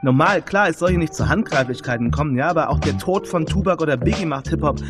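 An actor playing a casual, defensive young rapper who answers interview questions in exasperated colloquial speech. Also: male voice, a little high, quick pace, music in the background, lightly acted.

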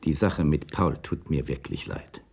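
An actor playing an apologetic, uneasy neighbour in a radio crime drama, speaking hesitantly and deferentially. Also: male voice, very deep voice, measured pace, gravelly, a little mellow, slightly rough, full character voice. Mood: uneasy, earnest. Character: apologetic, uneasy neighbour giving witness account. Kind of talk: 1980s German radio drama acting.

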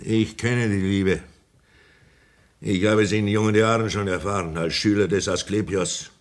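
A man's voice: fairly low pitch, steady tone, unhurried, lightly acted. A man playing a pompous old country doctor who lectures theatrically in a 1960s radio play. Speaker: Sanitätsrat.

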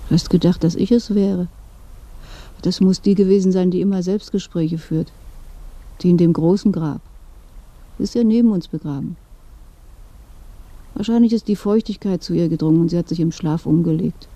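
A woman's voice: lowish voice, moderate pace, long pauses, dry recording. An actress playing an old dead woman who gossips knowingly and matter-of-factly from her grave in a radio play. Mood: curious, gossipy. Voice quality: creaky, mellow. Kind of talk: German radio play dialogue.

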